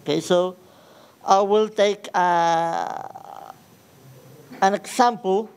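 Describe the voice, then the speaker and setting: A man in his thirties with an Ecuadorian Spanish accent presents at a technical conference, speaking unhurriedly in a smooth, clear voice that sits a little high. He pauses often with fillers and restarts as he explains his slides. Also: steady tone, darkish tone. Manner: hesitant, frequent fillers and restarts. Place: large conference hall with audience.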